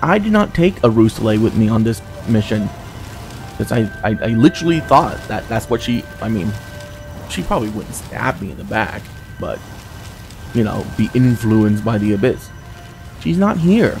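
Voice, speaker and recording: A man giving casual, chatty commentary while he plays a video game. His low voice has a gravelly edge and swings lively in pitch. Faint game music sits behind him. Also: normal speed, rough recording. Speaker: gameplay commentator.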